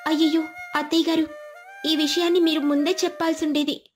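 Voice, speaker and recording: Cartoon sparrow mother, female actor, high voice, meek and pleading, music underneath. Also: level tone, fairly quick, lightly acted. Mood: anxious, placating. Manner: pleading, plaintive. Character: meek, troubled young mother sparrow, dutiful daughter-in-law.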